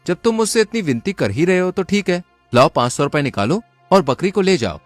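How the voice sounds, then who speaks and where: A man's voice: sits high, sing-song, brisk, no room sound, faint music behind. A man voicing a cunning, confident young village man in a cartoon moral story, speaking persuasively. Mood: slyly friendly.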